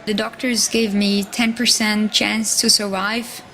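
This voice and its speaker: A woman in her twenties from Switzerland, speaking English with a Swiss German accent as she narrates her own story in a soft-spoken, halting way. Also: mid-range voice, little pitch movement, echoey room, distant mic, low-quality recording. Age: 20s. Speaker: documentary subject. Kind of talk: personal documentary narration.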